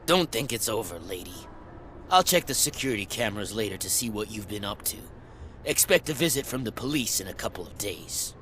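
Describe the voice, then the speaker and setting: An actor playing a gruff, irritable security guard in a video game, blunt and grumbling with a sarcastic streak. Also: male voice, on the low side, lively intonation, brisk, a bit bright, slight background noise. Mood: suspicious, stern.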